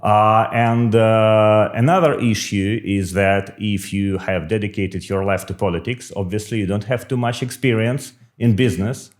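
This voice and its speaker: Measured middle-aged man. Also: lowish voice, steady tone. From Russia. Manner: measured, explanatory, with frequent filler pauses. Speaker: political candidate. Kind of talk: podcast interview.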